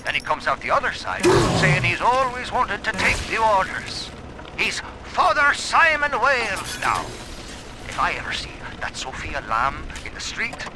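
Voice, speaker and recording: Sardonic, drunken British rogue, swooping game-diary monologue, noisy backdrop. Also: male voice, high-pitched, very animated, normal speed. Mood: scornful, ranting. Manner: ranting, sardonic, slangy. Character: drunken, bitter, foul-mouthed brothel-keeper and former architect.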